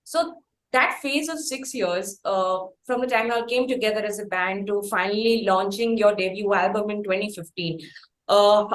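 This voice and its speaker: Echoey Indian woman interviewer, halting. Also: mid-range voice. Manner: wordy, halting, circles around before asking. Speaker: interviewer, podcast host. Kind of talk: conversational interview. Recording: roomy, echoing sound.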